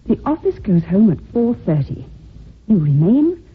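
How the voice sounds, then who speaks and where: Pressing, earnest female secretary, radio mystery; lively pitch swings, thin phone-like vintage sound. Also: a little slow, slight background noise. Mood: insistent. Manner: insistent, pressing. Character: earnest, urgent secretary building a case, protective of her boss. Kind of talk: old-time radio drama acting. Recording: tinny old radio recording.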